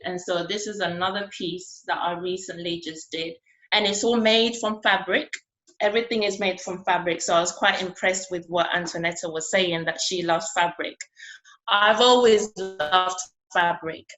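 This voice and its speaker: A woman from Ghana speaking at a conference about her art, in an earnest, conversational way with frequent pauses. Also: fairly low pitch, steady tone, a bit bright, rough recording. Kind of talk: conference speech.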